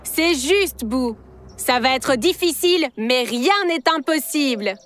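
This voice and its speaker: A woman's voice: high-pitched, lively intonation, takes it slowly, background noise. A woman playing a cheerful, sensible, determined child in a French cartoon dub, explaining things encouragingly. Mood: earnest, determined.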